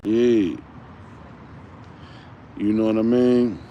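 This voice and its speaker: A man's voice: fairly low pitch, steady tone, drawn-out words, warm tone, lo-fi sound, slight background noise. A man of fifty, unwell and tired, talking in a rambling, casual livestream, full of street slang and repeating himself. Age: 50s. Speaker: livestream host.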